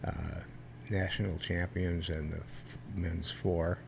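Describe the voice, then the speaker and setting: An older man, a veteran guest, telling stories in a rambling, halting way with many restarts and a loud voice that carries. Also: low voice, level tone, vocal fry, a little hiss or hum. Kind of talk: casual storytelling interview.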